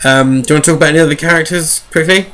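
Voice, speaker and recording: A man's voice: on the high side, fairly even intonation, racing, fairly bright tone, slight background noise. A man co-hosting a casual fan discussion of sci-fi novels, enthusiastic and rambling, often restarting his sentences. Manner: casual swearing. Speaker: podcast co-host.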